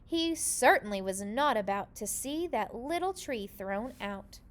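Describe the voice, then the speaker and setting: A woman reading a children's story aloud in an expressive, animated storytelling manner. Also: fairly high pitch, a little slow, slight background noise. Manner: expressive, animated delivery with character voices. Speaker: storybook reader.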